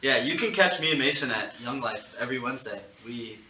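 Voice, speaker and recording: Bright-voiced young guy, echoey and distant. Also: mid-range voice, expressive, fairly quick, highs cut off. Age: teenage high school senior. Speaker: podcast co-host. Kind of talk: casual chat. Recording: echoey, distant mic with background noise.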